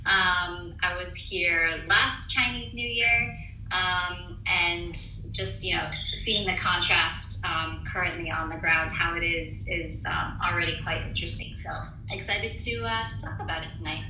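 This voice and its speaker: A woman's voice: lowish voice, fairly even intonation, unhurried, pushed and bright, echoey room, slight background noise, low-quality recording. A woman who runs a social media agency, chatting enthusiastically and with self-mocking humor as a panel guest. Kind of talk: casual panel chat.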